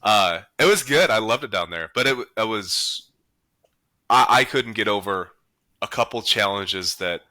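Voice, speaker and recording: An American man hosts a casual podcast in a fairly low, very bright and forceful voice. He talks in a folksy, rambling way. The sound is close and dry. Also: expressive, moderate pace, no room sound. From South Dakota, US. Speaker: podcast host. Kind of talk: casual chat.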